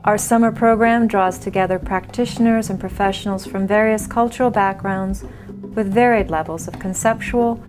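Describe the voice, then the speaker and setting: A woman reading a scripted narration in a smooth, even manner. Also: medium pitch, normal speed, soft background music.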